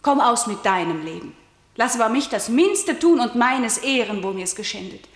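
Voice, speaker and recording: A defiant young princess in a classical verse radio play, fierce and unbending, sliding into hushed whispers. Her voice sits fairly high. The studio gives it a roomy echo. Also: lively intonation, moderate pace. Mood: resolute. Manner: intense, shifts to hushed whispers. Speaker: lead actor in a radio play.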